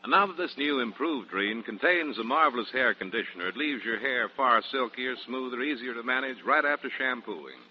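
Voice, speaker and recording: A male announcer giving a scripted sales pitch in the style of 1940s radio advertising. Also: lively intonation, fairly quick, few pauses, slight background noise. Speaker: commercial announcer. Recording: dull 1940s radio recording.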